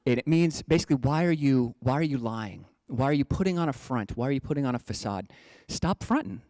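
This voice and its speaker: A man's voice: mid-range voice, lively intonation, a little fast, mellow, dry recording. A man from the United States, a Buddhist monk giving a casual, playful dharma talk. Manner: playful, chatty, breaks into joking slang voices.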